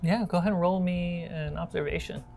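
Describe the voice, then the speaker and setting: A woman's voice: on the low side, fairly even intonation, a little fast, vocal fry, dark tone, soft background music. A woman running a tabletop roleplaying game, improvising scene descriptions as game master.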